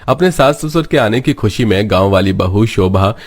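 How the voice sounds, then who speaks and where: A male narrator reading a scripted cartoon story. His voice is slightly low, and he delivers the tale as a storyteller. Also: animated, moderate pace.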